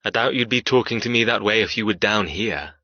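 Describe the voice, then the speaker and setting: A man's voice: lowish voice, a little fast, bright, forceful tone, no echo, highs cut off. An actor playing a cold, cruel male captor who taunts with clipped, controlled, sneering menace in audiobook dialogue. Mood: cold, threatening.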